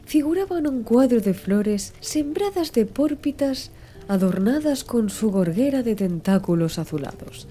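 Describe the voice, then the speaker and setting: A woman narrating a literary audiobook in a measured, expressive storytelling manner. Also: on the high side. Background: music under the voice.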